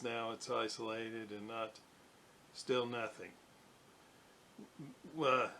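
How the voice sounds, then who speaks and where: A middle-aged man giving a casual workbench demonstration, thinking aloud in an unhurried way with fillers. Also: medium pitch, a bit bright, slight background noise. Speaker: radio restoration hobbyist. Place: home electronics workbench.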